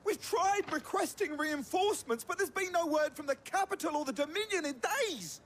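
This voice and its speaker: A man's voice: extremely high pitch, normal speed, fairly bright tone, a little hiss or hum. A man playing a desperate, defiant garrison soldier in a game, agitated and pleading. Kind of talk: dramatic game cutscene acting. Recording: music behind the voice.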